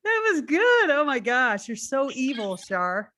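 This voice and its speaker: A woman's voice: high-pitched, very animated, unhurried, bright, forceful tone. A woman hosting a casual post-show chat in a giggly, effusive manner. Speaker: theatre company member hosting the chat.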